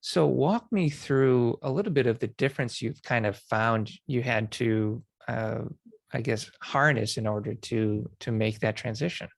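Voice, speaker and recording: A man's voice: mid-range voice, measured pace, vocal fry, dry recording. A man hosting a podcast interview, casual and encouraging as he affirms and asks questions.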